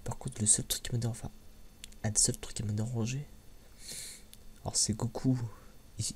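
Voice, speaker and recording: A man gives a casual, rambling ASMR-style commentary in a soft, hushed, hesitant voice, often repeating words. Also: medium pitch, fairly even intonation, normal speed. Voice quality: soft, hushed voice, sometimes near a whisper. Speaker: host of an anime review video. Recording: clearly audible background noise.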